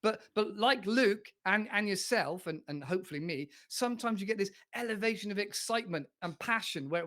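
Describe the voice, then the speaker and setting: High-voiced male podcast host. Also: a bit bright. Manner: friendly, repeats words, stammers. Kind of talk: casual interview chat.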